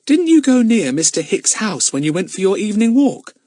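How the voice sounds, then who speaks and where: A man's voice: high voice, sing-song, brisk, warm tone, no room sound, clean studio sound, voice pushed up. A man voicing a keen, sensible schoolboy detective, eager and forthright, in a children's audiobook. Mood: questioning. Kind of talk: acted children's audiobook dialogue.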